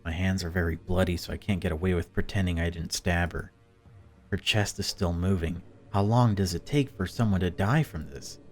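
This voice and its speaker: Guy with a low voice reading and chatting through a visual novel, faint music under him. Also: steady tone, moderate pace. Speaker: gaming video host. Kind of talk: dramatic read-aloud and casual commentary on a visual novel.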